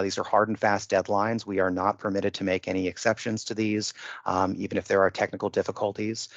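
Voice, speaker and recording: A man's voice: fairly low pitch, creaky, no echo. A man methodically giving a formal procedural briefing as an official on a conference call, stressing the rules. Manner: methodical, emphatic about rules. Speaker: procurement official leading a bid conference call. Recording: muffled, dull conference-call audio.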